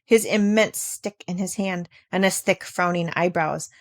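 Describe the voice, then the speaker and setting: A woman reading a children's classic aloud as expressive scripted narration. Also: lowish voice, measured pace, no echo, studio quality. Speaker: audiobook reader.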